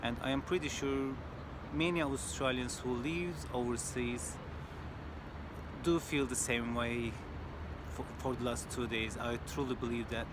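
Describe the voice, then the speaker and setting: A man with a Turkish accent, from Turkey and a native Turkish speaker, giving an earnest, measured personal commentary with frequent hesitations. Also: medium pitch. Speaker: video commentator. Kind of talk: personal spoken commentary to camera. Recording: outdoor traffic noise behind.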